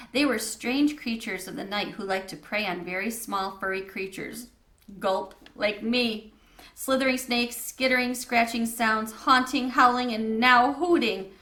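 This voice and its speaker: A woman's voice: on the high side, a little slow, pushed and bright. Woman reading a children's story, echoey room. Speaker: read-aloud storyteller.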